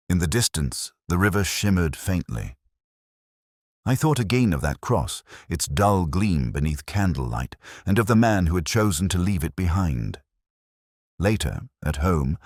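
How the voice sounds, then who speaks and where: A man voicing a weary middle-aged Oxford detective, narrating in first person in a measured, reflective way. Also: sits low, no echo, clean studio sound, full character voice. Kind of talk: scripted audio drama narration.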